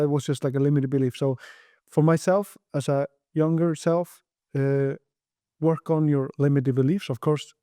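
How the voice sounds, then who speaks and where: A young Icelandic man in a podcast interview, speaking his second language in a fairly high, mellow voice. He talks earnestly, stumbling over repeated words and restarting sentences, and the sound is dry and close. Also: dry recording. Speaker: guest entrepreneur.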